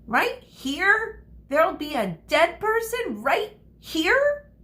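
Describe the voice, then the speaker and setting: A woman's voice: very high voice, big pitch swings, takes it slowly, bright, forceful tone, voice pushed up, exaggerated voice. A woman voicing a fascinated, blunt little girl in a storybook, sounding incredulous.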